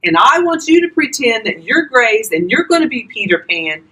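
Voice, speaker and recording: An older woman reading a children's storybook aloud expressively, talking directly to young listeners in a husky voice. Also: fairly high pitch, very animated, normal speed, pushed and bright, poor audio. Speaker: volunteer grandparent reading to children.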